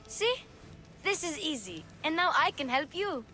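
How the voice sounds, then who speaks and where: Game child role, high and bright, eager, proud, talkative young girl, music underneath. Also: sing-song, a little slow, exaggerated voice. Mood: proud, excited. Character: plucky, eager, chatty young orphan girl. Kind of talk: video game cutscene acting. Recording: music behind the dialogue.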